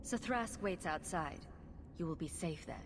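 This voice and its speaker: An actress playing a wry, self-assured adventuring heroine in a video game, sardonic and glib. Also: female voice, on the low side, animated, unhurried, noisy background. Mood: wry, confident.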